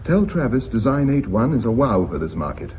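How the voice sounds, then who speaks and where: An actor with a British accent playing a dry, unflappable company official in a radio drama, formal and wry. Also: male voice, mid-range voice, sing-song, a little fast, a little hiss or hum, natural voice. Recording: dull reverberant old radio recording.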